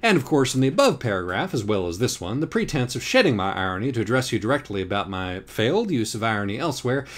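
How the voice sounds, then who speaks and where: A man reading scripted audiobook narration in a wry, deliberate, literary manner. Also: medium pitch, big pitch swings, a bit bright. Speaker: audiobook reader.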